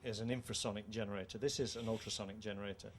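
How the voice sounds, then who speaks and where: A middle-aged British man presents technical details in a measured, formal way. His voice sits a little low. He speaks into a handheld mic with some room noise around him. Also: fairly even intonation. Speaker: company co-founder presenting a product. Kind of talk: technical product presentation in an interview. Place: indoor trade show room. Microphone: handheld microphone. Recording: clear background noise, show-room ambience.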